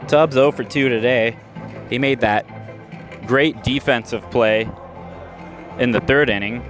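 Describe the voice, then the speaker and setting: A man calling baseball play-by-play in radio style, measured between pitches and lifting sharply on big plays. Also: music in the background. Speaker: play-by-play announcer. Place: ballpark stands, outdoors. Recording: live ballpark recording with outdoor noise.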